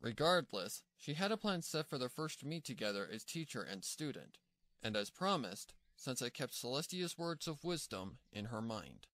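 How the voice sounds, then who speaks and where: Guy narrating a story, medium pitch, really animated delivery, dry studio sound. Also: normal speed, no room sound.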